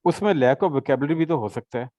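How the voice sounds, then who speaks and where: A man's voice: on the high side, animated, moderate pace, a little mellow, no room sound, studio quality. A man tutoring an English class in a casual session, patiently explaining with examples and checking understanding. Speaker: English teacher.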